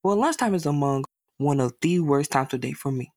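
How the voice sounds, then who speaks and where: Woman doing a mopey lonely kid monologue, low voice, down on himself, really dry recording. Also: expressive, a little fast, a little mellow, dry recording. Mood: glum, lonely. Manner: glum, sighing, self-deprecating. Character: lonely, self-doubting young boy pining for a girl. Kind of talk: dramatic monologue reading.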